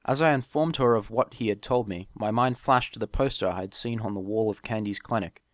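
A man with a fairly low voice narrates a first-person audiobook. He reads from a script as an amateur narrator, and the sound is dry. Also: fairly quick, no room sound.

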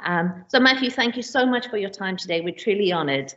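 A woman's voice: mid-range voice, animated, fairly quick, a bit bright. A woman in her thirties hosting a conference, introducing the next speaker at a podium with frequent ums. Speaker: event host. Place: conference room indoors. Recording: dull lo-fi stream audio.

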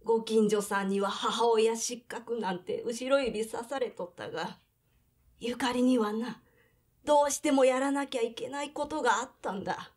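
A woman's voice: on the high side, a little mellow, lightly acted. An actress playing a plain-spoken grandmother in her eighties in an audio drama, reminiscing. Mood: wistful. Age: 80s. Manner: slow, reminiscing, folksy. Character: elderly Japanese grandmother, plain-spoken, reflective, devoted to family.

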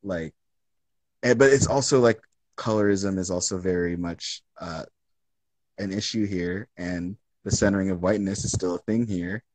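A young man with a lowish voice talks through his thoughts in a relaxed online chat, full of "like" and false starts. The sound is dry and close, as if from a phone held near his face in a room at home. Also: moderate pace, no room sound, poor audio. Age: young adult. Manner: thoughtful, rambling with many fillers and restarts. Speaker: artist in conversation. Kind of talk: casual live-stream chat. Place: indoors at home. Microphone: phone close to face on a video call.

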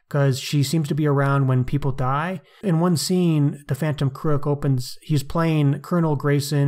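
A man with a slightly higher-pitched voice chats about books as a podcast co-host. He speaks in a casual, wry way and drops in slangy asides as he makes his points. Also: a little mellow. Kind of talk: casual book discussion.

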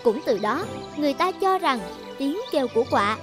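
Casting: female storybook narrator, high register, children's music underneath. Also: lively intonation, moderate pace. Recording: music behind the voice.

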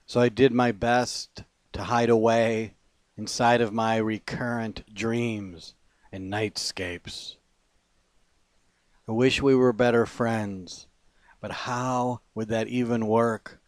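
A man recites poetry in a fairly low voice. His pace is very slow and deliberate, with measured phrases set apart by pauses. The sound is dry, with no room echo around the words. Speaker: poet. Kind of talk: poetry reading.

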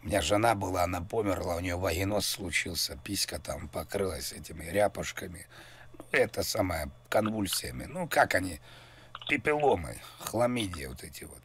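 A man making a rambling prank call, mock-serious and crude, with a husky voice. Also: low-pitched, animated, moderate pace, a bit bright. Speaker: prank caller.

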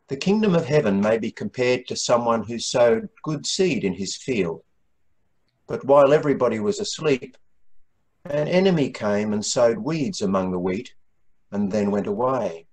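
An older man reading scripture in a measured, solemn manner as the Gospel reader at a church service. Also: mid-range voice, expressive, a little mellow, poor audio. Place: small room at home, indoors.

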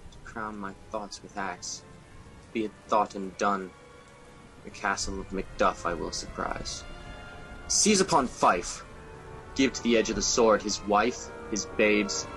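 A man's voice: on the low side, a little slow, fairly bright tone, faint music behind, rough recording. A man playing a tormented ambitious king, declaiming Shakespearean verse in an amateur radio drama. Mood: resolute.

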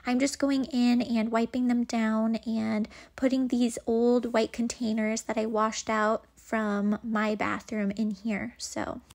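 A woman's voice: a little high, flat delivery, slow, hardly any pauses, a little mellow. A woman vlogger giving a casual, chatty voice-over about home organizing. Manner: chatty, informal.

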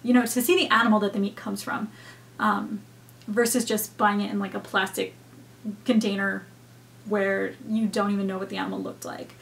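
Brief: young woman, home reaction host, hesitant reflective chat, mid pitch, webcam at desk. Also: flat delivery, moderate pace, creaky. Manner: thoughtful, hesitant, many fillers. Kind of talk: casual reaction commentary. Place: home room with bookshelves.

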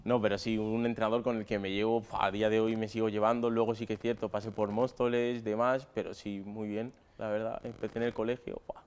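Young male footballer, twenty, Madrid accent, smooth voice with fairly flat intonation, chatting in an interview. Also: fairly low pitch, brisk, hardly any pauses. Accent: Madrid Spanish. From Spain, Madrid area. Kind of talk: casual interview answers. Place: stadium dugout with plastic seats.

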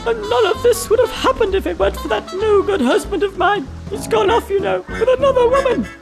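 A woman's voice: very high voice, lively intonation, brisk, creaky, music in the background, heavily acted, low-quality recording. A woman playing a fretful, plaintive female bee in a cartoon video game, melodramatic and gossipy. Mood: grateful, gossipy. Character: fretful, plaintive female bee mourning her stolen hive.

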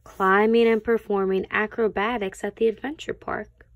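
A woman reading a children's picture book aloud as a teacher would, with deliberate phrasing for young listeners. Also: mid-range voice, level tone, dull audio. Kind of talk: read-aloud children's storybook narration.

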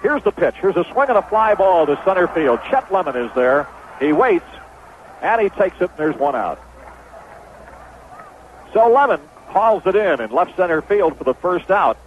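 A man's voice: sits high, very animated, normal speed, narrow, tinny audio, slight background noise. A man calling baseball play-by-play as radio commentary on an old broadcast.

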